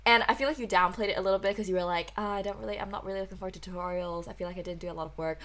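A woman's voice: mid-range voice, fairly even intonation, racing, fairly bright tone. A young woman from Hong Kong hosting a casual podcast chat, talking freely with lots of "like" fillers and easy laughter. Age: young adult. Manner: chatty, frequent "like" fillers, laughs easily. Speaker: podcast host.

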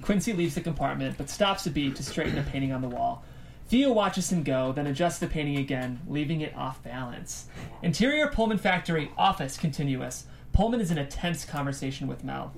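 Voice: medium pitch, lively intonation, fairly quick, creaky, a little hiss or hum. A narrator reading scripted stage directions aloud at a table read.

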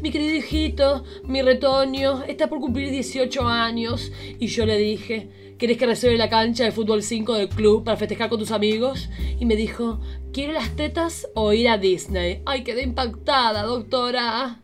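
A woman's voice: fairly high pitch, fairly even intonation, moderate pace, soft background music, lightly acted. A woman playing a vain, melodramatic divorced mother in a comic radio play, effusive and theatrically whining. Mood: gushing, dramatic.

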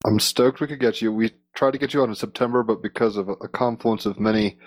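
A man's voice: lowish voice, quick pace, no room sound. A man hosting a podcast, interviewing in an informal, rambling, conversational way.

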